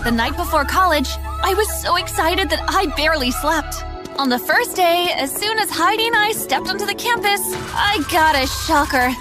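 A young woman narrates a story in the first person with dramatic, scripted delivery. Her voice is high, and music plays prominently behind it. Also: animated, normal speed.

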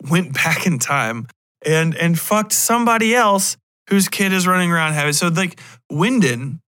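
A American man hosts a loose, rambling solo podcast. His voice is bright, sharp and a little high for a man, with blunt, sweary, irreverent delivery. The sound is very dry, with hardly any room on it. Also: no echo. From United States. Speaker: podcast host.

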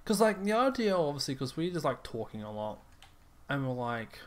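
A man's voice: on the high side, sing-song, normal speed. A young Australian man co-hosting a podcast, rambling and laughing through casual chat, a bit tired. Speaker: podcast co-host.

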